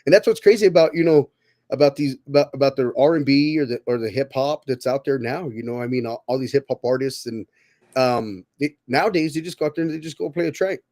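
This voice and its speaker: A man's voice: mid-range voice, fast, dark tone, no room sound, clean studio sound. A man in his thirties hosting a casual podcast chat, rambling and often repeating his words. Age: 30s. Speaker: podcast host.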